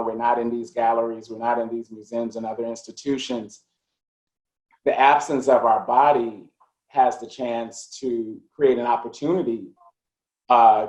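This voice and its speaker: A man speaking as a curator on a video-call panel, reflective and measured as he builds his points step by step. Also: mid-range voice. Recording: echoey room on a video call.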